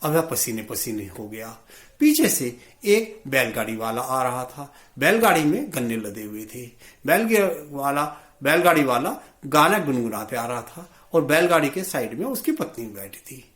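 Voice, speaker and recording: A man telling a moral story in an expressive, colloquial storytelling style. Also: mid-range voice, normal speed. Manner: expressive, colloquial, acts out dialogue. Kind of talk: animated moral storytelling in Hindi. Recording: roomy, echoey sound.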